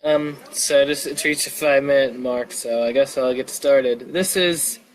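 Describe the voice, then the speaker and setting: A man's voice: mid-range voice, fairly even intonation, measured pace, low-quality recording. A man chatting casually on a livestream, rambling and muttering to himself as he goes. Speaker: live streamer.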